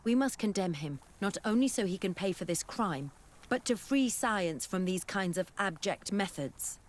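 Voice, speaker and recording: A woman's voice: medium pitch, animated, normal speed, lightly acted. An actress playing an earnest, principled female scholar with a grave, formal way of speaking in a fantasy game. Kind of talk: scripted fantasy game acting.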